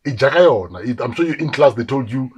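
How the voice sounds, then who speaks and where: Husky-voiced South African man telling stories. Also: medium pitch, fast talker. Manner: animated storyteller, acts out quoted voices. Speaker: actor guest. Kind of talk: casual interview storytelling.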